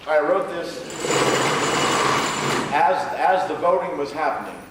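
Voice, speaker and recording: A man's voice: a little high, lively intonation, slow. Distant, echoey man answering audience questions. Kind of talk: live Q&A after a staged reading. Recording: echoey room, distant mic, faint noise.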